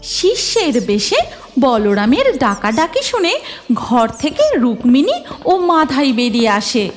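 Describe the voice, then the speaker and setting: A woman's voice: high-pitched, very animated, slow, soft background music. A woman reading scripted narration for a Bengali folk tale cartoon.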